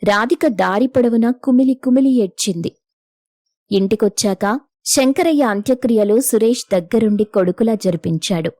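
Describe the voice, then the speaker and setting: A woman's voice: a little high, normal speed, dark tone, no echo, studio quality. A woman reading scripted narration for a moral story as its narrator.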